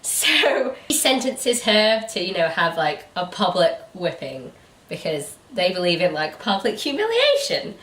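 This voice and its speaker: A woman's voice: mid-range voice, very animated, a little slow, fairly bright tone, recorded from a distance. Woman chatting in an echoey room. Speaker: book review channel co-host. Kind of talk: casual chatty book review. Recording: echoey room, away from the mic.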